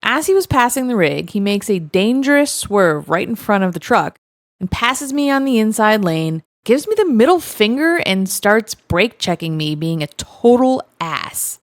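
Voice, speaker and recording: A woman's voice: mid-range voice, sing-song, unhurried, no echo, studio quality. A woman narrating first-person stories in a wry, matter-of-fact way. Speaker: narrator reading online stories.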